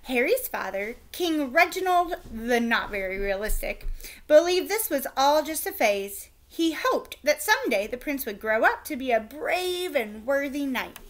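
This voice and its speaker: Bright, animated woman reading aloud. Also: high voice, unhurried. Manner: expressive, animated storytelling. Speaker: teacher. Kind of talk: reading a storybook aloud to children.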